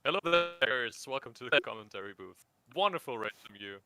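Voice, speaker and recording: A man's voice: mid-range voice, lively intonation, fairly quick, bright, forceful tone, clear, resonant tone, no echo. A man co-commentating a sim race stream, upbeat and stumbling over repeated words. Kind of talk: casual live-stream commentary.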